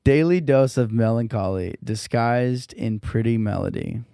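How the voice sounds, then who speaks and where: A man's voice: mid-range voice, measured pace, creaky, clear, resonant tone, no echo. Chill guy host, meandering questions, laughs a lot, dry mic. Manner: relaxed, rambling questions, laughs easily. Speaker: podcast host. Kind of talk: casual podcast interview.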